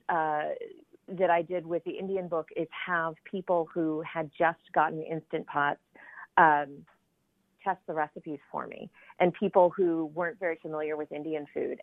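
A middle-aged woman from the southern United States, a cookbook author guest on a radio show, chatting in a relaxed, rambling way with many fillers and restarts. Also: deep voice, no room sound. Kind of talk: casual radio interview. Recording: tinny phone line, dry.

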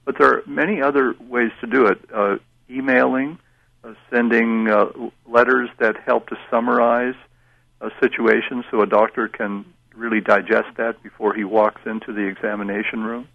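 A man talks as an expert guest on a radio show, steady and explanatory. His voice is gravelly and the sound is dull and dry. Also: mid-range voice, normal speed, dry recording.

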